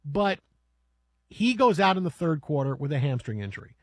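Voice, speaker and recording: A man on sports talk radio, talking through a playoff game with energy and plenty of "I mean" and "right?". His voice sits high for a man and has a husky edge. The studio sound is close and dry, with faint music underneath. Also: moderate pace, dry recording. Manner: animated, rambling basketball analysis with fillers. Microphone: studio microphone.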